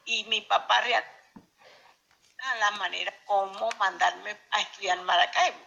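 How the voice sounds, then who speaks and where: A woman's voice: mid-range voice, fairly even intonation, a little slow, gravelly, bright, forceful tone, a little hiss or hum. A woman in her sixties with a Venezuelan accent, answering interview questions emphatically and repeating her phrases over a muffled internet call. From Zulia, Venezuela. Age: 60s. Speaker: interview guest, journalist.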